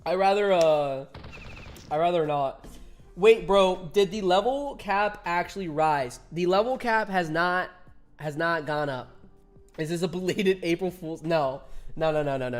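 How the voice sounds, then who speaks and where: A man's voice: a little high, animated, normal speed, faint music behind. A man in his twenties chatting casually with viewers while streaming a game, laughing often and reading chat aloud. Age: 20s.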